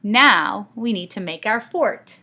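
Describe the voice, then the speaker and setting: A woman voicing an excitable, imaginative young boy in a children's storybook, eager and exclamatory as he plays explorer. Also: medium pitch, slow pace, heavily acted. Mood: adventurous. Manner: eager, exclamatory, bossy in play.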